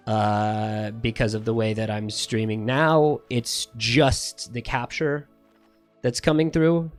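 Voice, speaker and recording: A man with a slight lisp, chatting casually to his stream viewers in a rambling, profane, self-correcting way. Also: mid-range voice. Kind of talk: casual livestream chat.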